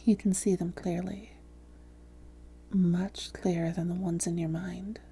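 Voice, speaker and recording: A woman playing a seductive, smug vampire who poses as a hypnotherapist, speaking softly and slowly, often whispering, in an ASMR roleplay. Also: on the low side, flat delivery, full character voice. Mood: soothing, hypnotic. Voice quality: soft, breathy, often whispered. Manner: slow, coaxing, deliberate.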